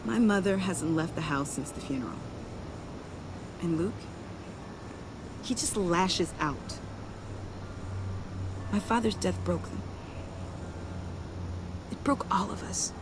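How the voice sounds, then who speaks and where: A woman's voice: on the low side, takes it slowly, long pauses, noisy background. A woman playing a young, guilt-ridden yet defiant former ally in a video game, earnest, confiding and emotional. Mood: anguished, pleading. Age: young adult. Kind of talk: video game dramatic acting.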